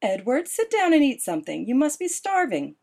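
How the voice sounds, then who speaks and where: A woman's voice: on the high side, expressive, unhurried, darkish tone, voice pushed up. A woman reading as a sweet, motherly middle-aged woman with a honeyed, coaxing way of talking. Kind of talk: audiobook character dialogue.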